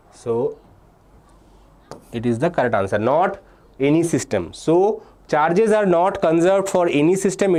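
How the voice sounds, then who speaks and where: Young indian guy teaching physics live online, voice a bit on the high side, reads out student answers, says okay a lot. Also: a little mellow. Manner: explains step by step, reads student names and answers from chat; frequent "uh" and "okay" fillers, repeats key points. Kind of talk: live online exam-prep class.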